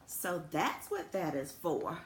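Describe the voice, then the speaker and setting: Woman voicing an innocent, anxious talking school building, slow. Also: lowish voice, lively intonation. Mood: wondering. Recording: roomy echo with faint background noise.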